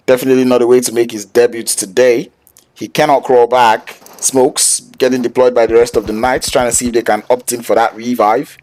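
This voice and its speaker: A man, a Yoruba speaker, calling an esports match play-by-play in an excitable, slangy way. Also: medium pitch. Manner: excitable, slangy, jokes with chat. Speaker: esports caster.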